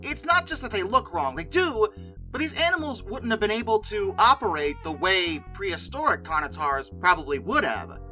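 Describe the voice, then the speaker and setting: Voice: mid-range voice, big pitch swings, normal speed, sharp tone, faint music behind. A video host giving casual, opinionated commentary in a conversational, emphatic way with exasperated asides.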